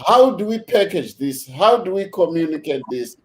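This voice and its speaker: A man hosting a video call discussion, measured and unhurried, with many fillers as he thanks his guests. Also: high-pitched, expressive, a little mellow. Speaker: host.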